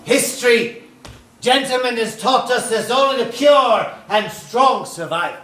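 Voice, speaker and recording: A man's voice: extremely high pitch, a little slow, heavily acted. A man acting a fanatical, menacing Nazi officer in a stage play, with pompous, declamatory, volatile delivery. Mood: pompous menace. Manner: pompous, declamatory, with bursts of shouting. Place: indoor theatre stage, recorded from a distance. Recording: echoey stage hall, distant mic.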